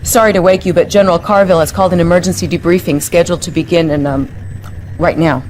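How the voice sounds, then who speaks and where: An actress playing a brisk, polite female military aide delivering lines in a game cutscene. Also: fairly low pitch, fairly even intonation, a little mellow, slight background noise, natural voice, rough recording. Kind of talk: dramatic game cutscene acting.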